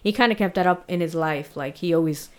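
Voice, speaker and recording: A woman with a slight Spanish-tinged accent talks through a true crime story in a casual, chatty way. She uses lots of fillers and small laughs. Her voice sits a bit low for a woman. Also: fairly quick. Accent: Spanish-influenced. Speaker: podcast co-host telling the story.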